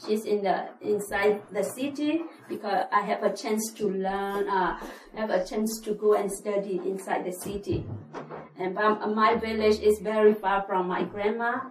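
A woman's voice: mid-range voice, steady tone, unhurried, echoey room, far from the mic, slight background noise, rough recording. A woman from Burma, telling her life story in hesitant at church. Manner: plain, hesitant, many uh fillers. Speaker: refugee giving testimony. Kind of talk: church testimony, non-native English.

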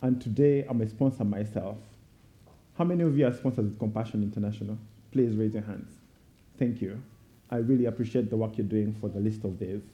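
A man in his thirties with a Ugandan accent, telling a prepared personal story to a congregation in a deliberate, oratorical way. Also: on the low side, dark tone. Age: 30s. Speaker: guest speaker at a church. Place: speaking before a live congregation. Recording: dull, muffled sound.

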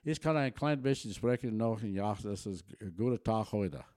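Casual older man, german native, low mellow voice, rambles folksy with little laughs, dry close mic. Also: normal speed, no room sound. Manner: folksy, rambling with many restarts and chuckles. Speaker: interview guest. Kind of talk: relaxed storytelling.

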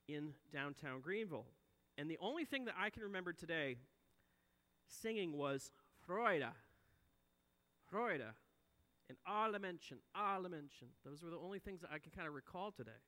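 A man in his forties delivers a sermon in an earnest, high and bright voice. He swells for emphasis and then sinks low and soft at the ends of phrases. His voice rings out with a long reverb in a church sanctuary. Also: lively intonation, moderate pace. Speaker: preacher.

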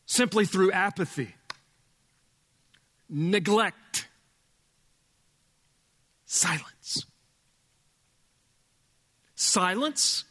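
A male pastor with a loud voice preaching a sermon in a church, emphatic, dropping from booming to hushed. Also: big-room sound. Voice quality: loud speaking voice. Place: church sanctuary with sound board.

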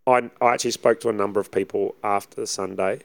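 Husky assistant pastor, low and gravelly, casual and rambling. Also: male voice, fairly even intonation, quick pace, no room sound. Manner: easygoing, rambling with many fillers. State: husky from preaching. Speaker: assistant pastor, podcast co-host. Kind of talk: casual chat.

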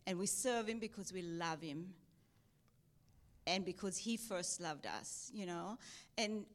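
A woman's voice: fairly low pitch, measured pace. A woman from South Africa with a South African accent touched by Texas, speaking earnestly at church as a missionary guest, with frequent ums. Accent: South African, with some Texan. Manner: earnest, with soft laughs and many ums. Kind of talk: church testimony and exhortation.

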